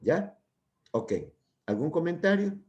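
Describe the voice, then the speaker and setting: An older male lecturer speaks in a mellow, dark-toned voice. He talks informally and drifts between points with hesitations and restarts. He keeps checking in with his students as he teaches a medical class. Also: a little high, very animated, slow, dull audio. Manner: rambling, hesitant, full of "¿ya?" and "¿no?" tags.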